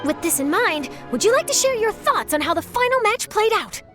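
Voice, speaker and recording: A woman's voice: extremely high pitch, very animated, a little fast, over music. A woman playing an eager young newspaper reporter in an anime video game, articulate, polite and inquisitive. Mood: eager, professional.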